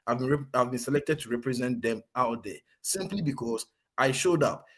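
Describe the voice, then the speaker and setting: A young Ghanaian soldier with a noticeably husky voice and a Ghanaian accent. He talks in an earnest, animated way, restarting his sentences often. His pitch sits in an ordinary middle range for a man. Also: moderate pace. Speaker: serving soldier. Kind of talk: conversational interview, mixing Twi and English.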